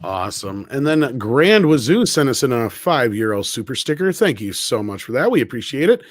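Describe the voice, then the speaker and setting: A man hosts a casual live stream in a dry-sounding recording. His pitch sits in the middle of the male range, and his talk is informal and conversational. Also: very animated, moderate pace, dry recording. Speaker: host.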